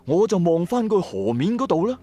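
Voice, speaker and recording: A man's voice: high-pitched, very animated, moderate pace, warm tone. A man with a Cantonese accent narrates a first-person ghost story in a colloquial, dramatic, suspenseful way. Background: music.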